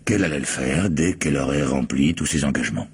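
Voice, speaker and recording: A man playing a proud, modest physicist, an estranged husband answering questions in a measured, hesitant way in a French radio crime drama. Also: rumbling low, a little mellow, husky, full character voice. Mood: guarded.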